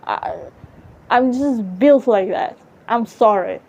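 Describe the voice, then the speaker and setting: A woman with a Serbian accent musing aloud about life in a halting, self-correcting way, swearing casually now and then. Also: a little high, a little mellow. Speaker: vlogger. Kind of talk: rambling philosophical musing. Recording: muffled lo-fi audio.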